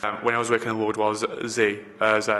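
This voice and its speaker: A man's voice: on the low side, completely flat delivery, fairly quick, pushed and bright. A man, a film location assistant, giving rambling interview answers full of fillers and restarts. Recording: reverberant room, faint background noise.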